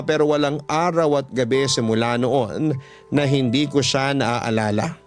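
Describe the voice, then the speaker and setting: A man reading a listener's letter as a radio host, in polite, measured, sentimental first-person storytelling. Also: medium pitch. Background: music.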